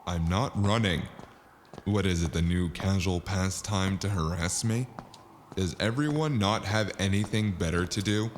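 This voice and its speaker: An actor playing a cynical, deadpan mercenary in a noir audio drama, with a deep gravelly voice. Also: male voice, a little slow, slight background noise. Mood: exasperated. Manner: sardonic, deadpan. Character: cynical, sarcastic mercenary man narrating his own noir tale.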